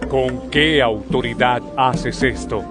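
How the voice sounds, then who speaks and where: An actor playing a suspicious, formal chief priest with an interrogating manner in a scripture audio drama. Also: male voice, mid-range voice, slow pace, lightly acted. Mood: challenging. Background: loud music.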